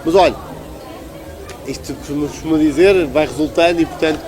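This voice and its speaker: High-voiced Portuguese man, crowd behind. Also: a little fast. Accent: European Portuguese. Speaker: local politician being interviewed. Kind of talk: conversational political interview.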